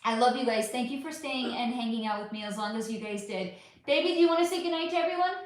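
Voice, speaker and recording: A woman's voice: a little high, fast. A woman hosting a casual livestream chat, profane and affectionate, losing her voice. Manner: chatty, profane, affectionate with viewers. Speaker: livestream host. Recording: very echoey room.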